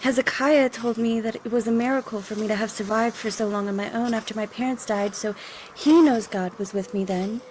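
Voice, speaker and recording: A woman playing a faithful, protective young refugee in an audio drama, earnest and gentle with a frightened edge. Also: fairly high pitch, fairly even intonation, brisk, slight background noise, lightly acted, rough recording. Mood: earnest, reflective. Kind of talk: cinematic audio drama acting.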